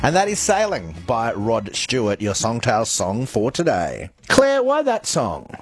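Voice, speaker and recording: A woman hosting a radio interview, asking brisk, prompting questions. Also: rumbling low, wildly swooping pitch, breathy edge, dry recording, faint music behind. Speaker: radio host.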